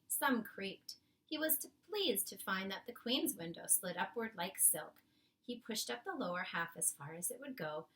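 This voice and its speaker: A woman reading a children's book aloud expressively, with careful, dramatic phrasing and pauses. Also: mid-range voice, bright, forceful tone, recorded from a distance, a little hiss or hum, poor audio. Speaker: storybook reader.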